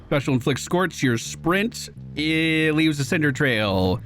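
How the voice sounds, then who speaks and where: A man's voice: fairly high pitch, lively intonation, slow pace. A man giving casual, chatty commentary while he plays a video game, now and then swearing or laughing. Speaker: gaming video creator. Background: game music.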